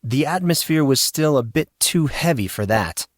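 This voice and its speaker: A man's voice: on the high side, lively intonation, normal speed, no echo, clean studio sound. A man reading scripted fiction narration as the narrator of an audio story.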